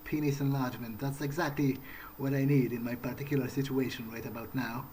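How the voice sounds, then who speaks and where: A man role-playing a rueful, bewildered new vampire in a video game, thinking aloud in a halting, rambling way with dry sarcasm. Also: mid-range voice, fairly even intonation, a little mellow, a little hiss or hum, natural voice. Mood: dry, puzzled. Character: rueful, bewildered newly turned young male vampire. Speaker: gaming role-player. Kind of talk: in-character improvised game monologue, thinking aloud.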